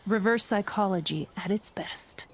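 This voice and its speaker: A woman's voice: mid-range voice, normal speed, close to an everyday voice. An actress playing a tough, no-nonsense woman fighter in a video game, curt, sarcastic and dismissive. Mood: scornful, irritated. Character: tough, no-nonsense, scornful female fighter, adult. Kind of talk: video game character dialogue.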